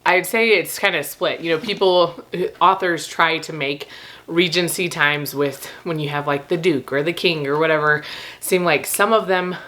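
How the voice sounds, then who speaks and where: A woman with a slightly rough, recovering voice, rambling casually and informally to camera as she reviews books. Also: low-pitched, normal speed, a bit bright. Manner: informal, laughs often while talking. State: slightly rough, gravelly voice after losing it. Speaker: book review vlogger.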